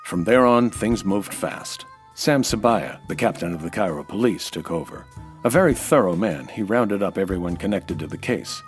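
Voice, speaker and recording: An actor playing a hard-boiled, cynical American nightclub owner in a dry, clipped detective radio drama style. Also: male voice, deep voice, animated, moderate pace, soft background music. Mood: wry, narrating. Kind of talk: 1940s detective radio drama narration and dialogue.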